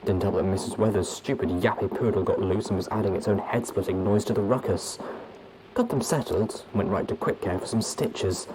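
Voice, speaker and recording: A man's voice: on the low side, a little fast, noisy background, lightly acted. A man voicing a weary, grumbling, folksy small-town lawman pony recording an audio log in a story. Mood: weary, exasperated. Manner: folksy, exasperated.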